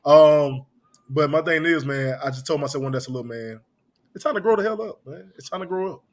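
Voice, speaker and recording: Male podcast host, thirties, quick and loose, leans on "man" and "you know what I'm saying". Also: on the high side. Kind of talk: casual podcast chat.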